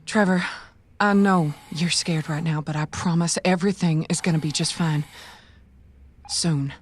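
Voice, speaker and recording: A woman playing an affectionate, quietly scheming guardian who talks in a soothing, motherly way in a cyberpunk audio drama. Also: sits low, steady tone, a little slow, slight background noise. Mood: tender, reassuring.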